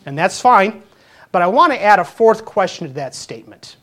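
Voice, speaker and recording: A man's voice: high voice, lively intonation, normal speed, a bit bright. A man of fifty, a pastor, preaching a sermon in an earnest, conversational way, full of rhetorical questions and small restarts. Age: 50s.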